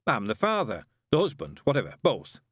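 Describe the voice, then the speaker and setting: A man's voice: mid-range voice, huge pitch swings, normal speed, a bit bright, no room sound, clean studio sound, voice pushed up. A man playing a mild, bemused accountant. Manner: mild, hesitant, polite. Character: mild, tired middle-aged male accountant, polite.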